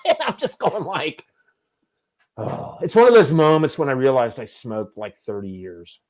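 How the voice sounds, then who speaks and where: A man in his fifties hosts a relaxed conversation in a mid-range voice. He rambles through long anecdotes and pokes fun at himself. His speech is loose and conversational, full of asides. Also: medium pitch, moderate pace. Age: 50s. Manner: rambling, self-deprecating storyteller. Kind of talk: casual chat.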